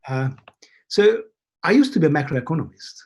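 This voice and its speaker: Male academic interviewee. Somewhat raised pitch with a rough edge; reflective, halting delivery that stresses key words. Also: big pitch swings, darkish tone. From Hungary. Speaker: academic guest, behavioral scientist. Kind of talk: thoughtful interview conversation. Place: video call from a computer.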